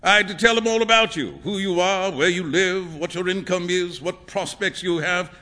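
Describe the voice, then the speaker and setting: A man's voice: high voice, normal speed, bright, forceful tone, voice pushed up. A man voicing a flamboyant, opinionated painter in a storybook, with theatrical, emphatic and pompous delivery. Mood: teasing, jovial. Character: flamboyant male painter, grandly opinionated, excitable and teasing. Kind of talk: acted storybook dialogue.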